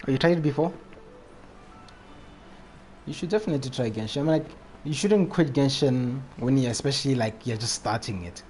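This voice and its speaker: A man's voice: medium pitch, a little mellow. A man live streaming a video game, chatting casually in slangy, repetitive phrases. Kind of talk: casual live chat while playing.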